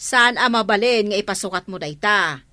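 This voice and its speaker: A woman's voice: medium pitch, moderate pace, pushed and bright, no echo, studio quality, lightly acted. A woman with an Ilocano Filipino accent playing a proud, outspoken village neighbour in a comedy drama. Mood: firm.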